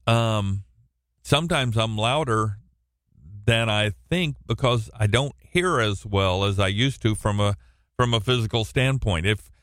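A man teaching through a Bible passage on the radio, with a loud, projecting voice and a halting delivery full of restarts and repeated words. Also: fairly low pitch, no echo, studio quality. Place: radio studio, alone.

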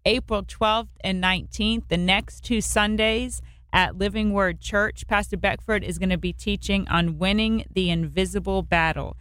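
An American woman co-hosting a church radio show, affirming her co-host and reading scripture in a measured way. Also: medium pitch, clean tone, dry recording. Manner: affirming, steady backing murmurs, measured reading.

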